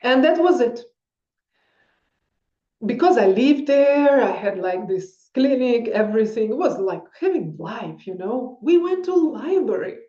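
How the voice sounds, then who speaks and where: A woman's voice: a little high, sing-song, unhurried, mellow. Woman telling a rambling story. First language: Russian. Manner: rambling, searching for words, non-native grammar. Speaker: vlogger. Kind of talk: casual personal storytelling. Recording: roomy, dull-sounding recording.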